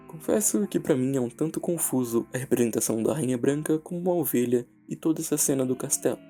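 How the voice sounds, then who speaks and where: Gravelly, mellow Brazilian man narrating. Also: medium pitch. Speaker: narrator and video essayist. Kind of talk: dramatic scripted narration and analysis of horror stories. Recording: background music under the voice. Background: music.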